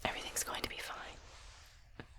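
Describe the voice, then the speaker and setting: A woman playing a calm, reassuring spaceship doctor in a soft, breathy ASMR whisper, speaking gently and soothingly. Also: unhurried. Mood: reassuring, uneasy. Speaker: ASMR roleplayer. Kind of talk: soft ASMR medical roleplay.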